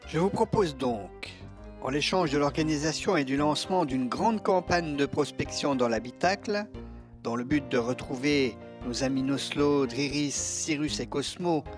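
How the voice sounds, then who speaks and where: A man playing a stiff, stubborn and dutiful attendant, speaking with over-formal, measured pomposity in a French audio drama. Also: a little high, soft background music, natural voice. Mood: firm, formal negotiating.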